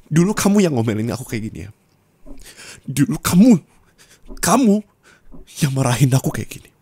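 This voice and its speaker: Lively, reproachful man, close and dry. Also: moderate pace, breathy edge, no room sound. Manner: bitter and reproachful, swinging from low muttering to raised angry scolding. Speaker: ASMR roleplay creator. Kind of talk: ASMR boyfriend roleplay, scolding a partner.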